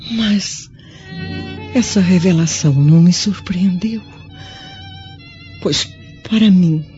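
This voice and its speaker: A mellow-voiced, dying Roman widow speaks very slowly in a Brazilian accent, solemn, tender and full of remorse. It is formal radio drama over music, with a slightly dull recording. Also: female voice, on the low side, heavily acted. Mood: solemn, serene. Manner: slow, formal, sorrowful, theatrical. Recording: dull lo-fi audio with music behind.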